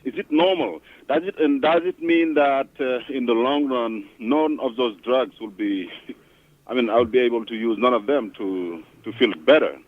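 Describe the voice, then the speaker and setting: A man's voice: medium pitch, normal speed, dry recording. A man from Senegal, a Wolof speaker, calling into a radio show and searching for words as he asks his question. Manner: hesitant, searching for words, laughs easily. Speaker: caller. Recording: tinny phone line.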